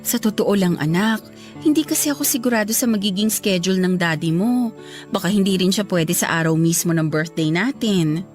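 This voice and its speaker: A woman's voice: mid-range voice, normal speed, darkish tone, soft background music, lightly acted. An actress playing a caring, tender mother of a young girl in a Filipino radio drama. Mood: hesitant, gentle.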